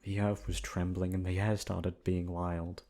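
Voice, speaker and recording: Male ASMR storyteller, lower-pitched, reading a scripted bedtime tale. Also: flat delivery, unhurried, dark tone.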